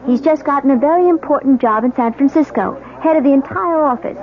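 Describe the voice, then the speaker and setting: A woman's voice: high-pitched, fairly quick, close to an everyday voice. A woman playing a defensive, indignant young newlywed wife in a radio drama. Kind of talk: 1970s radio drama acting. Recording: tinny, noisy old radio broadcast.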